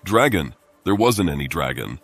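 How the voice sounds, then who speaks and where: An actor playing a gruff, stoic male adventurer in a fantasy game, curt and matter-of-fact. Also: low voice, big pitch swings, normal speed, a bit bright, faint music behind, full character voice.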